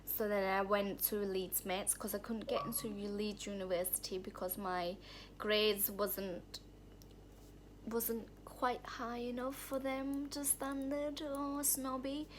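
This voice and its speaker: A woman's voice: mid-range voice, little pitch movement, slow pace, a little hiss or hum. A woman speaks to the camera from a room at home. She tells her own story in a candid, heartfelt way, rambling and restarting her sentences as she goes. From Thailand. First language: Thai. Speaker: vlogger. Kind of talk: personal story vlog.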